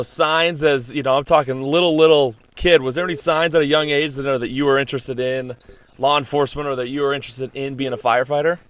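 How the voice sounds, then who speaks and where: A man hosting a podcast interview, eager and excitable, asking questions with many "you know"s. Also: on the high side, no echo. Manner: excitable, eager, lots of fillers. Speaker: podcast host. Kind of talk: casual interview.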